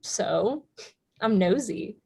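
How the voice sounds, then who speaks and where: A woman's voice: mid-range voice, lively intonation, very slow, gravelly, mellow, husky, full character voice. A young woman in a staged play reading, playing a nosy, evasive girl with a flippant, deflecting manner. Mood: playful, prying.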